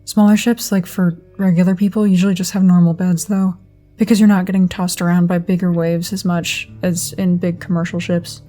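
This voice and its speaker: A woman acting in an audio drama as a quiet, literal-minded, earnest woman who is terse and matter-of-fact. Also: lowish voice, little pitch movement, brisk, soft background music, close to an everyday voice. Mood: earnest, puzzled.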